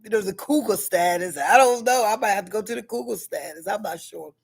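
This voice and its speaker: Deep-voiced woman in her sixties, chatty. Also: a little fast, gravelly, husky. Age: 60s. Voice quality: deep heavy voice. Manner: chatty, rambling, frequent fillers and self-questions. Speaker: vlogger. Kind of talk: casual personal vlog. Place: at school.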